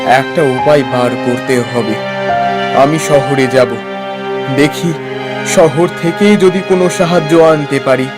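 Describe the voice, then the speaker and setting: A man's voice: mid-range voice, takes it slowly, long pauses, over loud music, lightly acted. A man voicing a concerned village husband in a cartoon story, speaking earnestly. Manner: earnest, reasoning.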